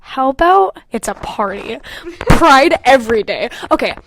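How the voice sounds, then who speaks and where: High-voiced young woman, sweary and animated. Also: unhurried, background noise. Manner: animated, sweary, self-mocking asides. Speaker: podcast co-host. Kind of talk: casual podcast chat, reading old fan fiction aloud with mocking commentary.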